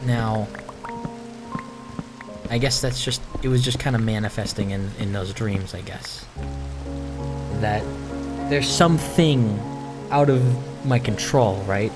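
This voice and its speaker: A man giving a casual, introspective monologue over gameplay, speaking in a halting, musing way and repeating phrases for emphasis. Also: on the low side, over music. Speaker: host of a gaming video series.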